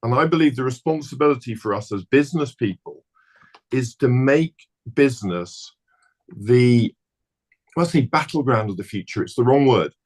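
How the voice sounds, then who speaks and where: A man's voice: mid-range voice, expressive, normal speed. Passionate man urging his listeners. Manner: passionate, emphatic. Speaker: business speaker. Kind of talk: passionate exhortation at an online seminar.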